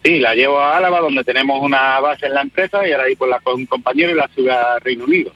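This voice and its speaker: A man with an accent from Spain calls a radio show as a trucker. His mid-pitched voice is bright but muffled by the phone line, chatting casually. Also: very fast, soft background music. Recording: dull phone call on radio.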